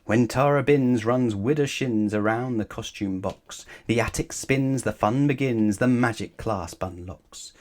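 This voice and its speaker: A man's voice: medium pitch, measured pace, few pauses. Male storybook reader for rhyming picture-book narration aimed at young children. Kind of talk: rhyming storybook read-aloud.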